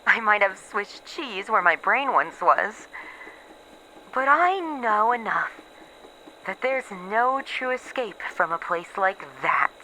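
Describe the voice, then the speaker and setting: Slow and halting, this is an actress as a despairing woman researcher recording a horror roleplay log. Her voice is bright and tense, with a bitter, self-mocking edge. She sounds resigned to her doom, over faint background noise. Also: mid-range voice, lively intonation, poor audio. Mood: bitter, anxious.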